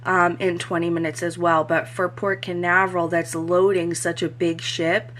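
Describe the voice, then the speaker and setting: A woman talking casually as a cruise vlogger, her voice a bit hoarse from crying the day before, with lots of "um" fillers. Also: on the low side, level tone, normal speed, a bit bright. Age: adult. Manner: chatty, frequent "um" fillers. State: slightly hoarse voice after crying. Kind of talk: casual review vlog.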